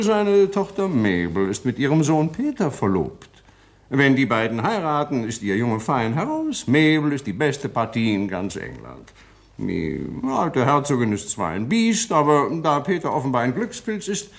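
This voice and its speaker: A blustering pompous lord. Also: male voice, medium pitch, wildly swooping pitch, moderate pace. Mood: gossipy. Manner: pompous, commanding, military bluster. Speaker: aristocrat. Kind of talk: radio drama acting.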